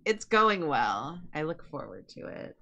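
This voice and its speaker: A woman's voice: low-pitched, sing-song, measured pace, gravelly, pushed and bright. A woman in her twenties chatting casually on an art livestream, with a giggly, slangy way of talking. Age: 20s. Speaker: streamer.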